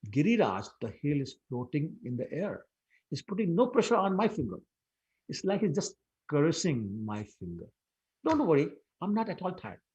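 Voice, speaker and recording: Man doing calm young god, soothing, slow, husky. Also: on the high side, dull audio, voice pushed up, full character voice. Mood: reassuring. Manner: soothing, reassuring. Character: calm smiling boy god.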